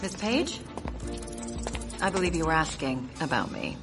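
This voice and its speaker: An actress playing a steely veteran secret agent, crisp, dry and unflinching, in a radio drama. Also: female voice, sits low, sing-song, measured pace, over music, heavily acted. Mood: composed. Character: steely, exacting veteran woman secret agent, drily defiant.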